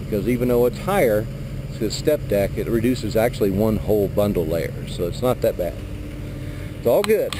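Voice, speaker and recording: A middle-aged man, a truck driver, walking around his trailer outdoors in a yard and explaining his work in a relaxed, plain-spoken way into a handheld action camera. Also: fairly low pitch, moderate pace. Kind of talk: casual vlog walkthrough. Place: outdoor industrial yard beside a flatbed trailer. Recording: noisy outdoor camera audio.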